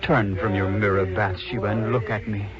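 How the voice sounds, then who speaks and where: A man's voice: on the low side, steady tone, normal speed, over a noisy crowd, close to an everyday voice. An actor playing a timid, earnest young man in a radio drama, hesitant and grave. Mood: uneasy. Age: young adult. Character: timid, earnest young lover, reluctant accomplice. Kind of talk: 1950s radio acting. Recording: muffled old radio recording, murmur behind.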